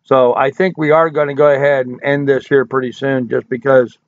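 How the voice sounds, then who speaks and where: An older man hosting a casual live stream, hoarse from hours of talk, folksy and rambling as he answers chat comments. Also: mid-range voice, level tone, a little fast, dry recording. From United States. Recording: dry, tinny phone-like audio.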